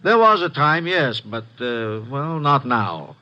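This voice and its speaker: An actor playing a wealthy, secretive loan company president who speaks hesitantly, with many pauses, in a 1950s radio drama. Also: male voice, mid-range voice, very animated, pushed and bright, full character voice. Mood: guarded. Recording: dull lo-fi old radio recording.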